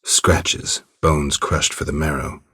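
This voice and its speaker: A man playing a gruff, laconic monster hunter for hire in a video game, speaking tersely with a dry, deadpan delivery and a gravelly rasp. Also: very deep voice, slow pace, heavily acted. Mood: wry, brooding. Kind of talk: video game dialogue acting.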